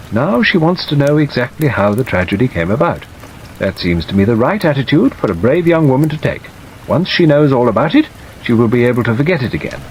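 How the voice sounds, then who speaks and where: A male narrator plays a stern older woman from a classic mystery audiobook. She is brisk, dry and precise, with firm, animated swings of pitch. Steady rain runs under the reading. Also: slight background noise, close to an everyday voice, poor audio. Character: stern, forthright older woman, a former governess, dry and unsentimental. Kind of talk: audiobook character dialogue.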